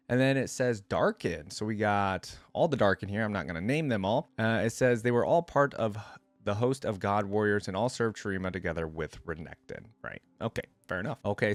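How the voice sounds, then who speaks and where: A man's voice: fairly low pitch, no room sound. A man hosting a reaction video, reading aloud and musing informally in casual commentary.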